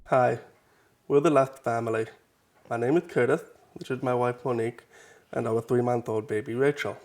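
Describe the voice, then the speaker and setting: A man's voice: medium pitch, fairly even intonation, moderate pace, darkish tone. A man, a father, introducing his family in a friendly, straightforward way. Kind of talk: personal introduction to camera.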